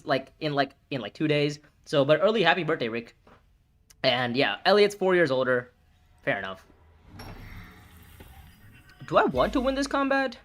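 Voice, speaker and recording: A man in his early twenties streaming a board game, thinking his moves aloud, reacting loudly and swearing at bad turns. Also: fairly high pitch. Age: 20s. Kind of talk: live gameplay commentary, thinking aloud.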